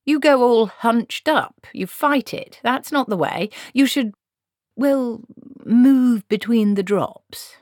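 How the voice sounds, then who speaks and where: A woman's voice: a little high, slow pace, darkish tone, no room sound, pitched above the performer's own voice. A stubborn old witch, as a woman narrator puts her on in a storybook reading. She is brusque and bossy, giving blunt, folksy orders in a raised voice. The sound is dry. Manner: blunt, folksy commanding speech.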